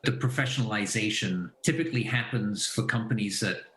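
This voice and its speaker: A man's voice: on the low side, brisk, a bit bright. A man from Belgium giving conversational interview answers as a company founder and finance advisor. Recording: roomy echo.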